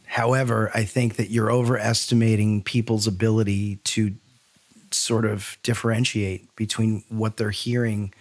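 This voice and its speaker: A man co-hosting a podcast, debating casually in a blunt, skeptical way with a gravelly edge to his voice. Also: lowish voice. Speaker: podcast co-host.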